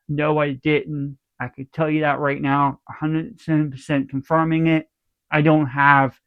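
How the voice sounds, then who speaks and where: A fairly high-pitched man with a smooth, clear voice that sounds stuffed up. He talks softly and meanders, looping back over the same points. The recording is dry and a little dull, like lo-fi audio. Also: dry recording. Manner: speaking softly to spare his throat; meandering, circles back and repeats himself. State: congested. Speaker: channel host. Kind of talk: casual rambling update to viewers.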